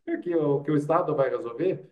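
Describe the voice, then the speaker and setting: A man's voice: a little high, quick pace, far from the mic, phone-quality sound. A man with a Brazilian accent, an interview guest telling anecdotes and explaining his points with rhetorical questions and quoted asides. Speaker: interview guest, doctor and public health expert. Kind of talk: conversational interview, anecdotal storytelling.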